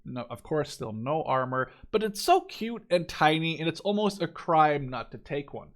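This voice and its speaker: A man's voice: on the high side, lively intonation, normal speed. A man in his twenties giving casual, unscripted game commentary, informal and thinking aloud as he goes. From Canada-based, Dutch. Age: 20s. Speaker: gaming YouTuber reviewing a strategy game.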